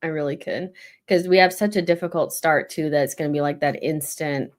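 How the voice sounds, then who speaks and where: A woman's voice: deep voice, steady tone, a little fast. A woman hosting a casual football chat, blunt and pessimistic. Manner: blunt, pessimistic fan venting. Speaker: host.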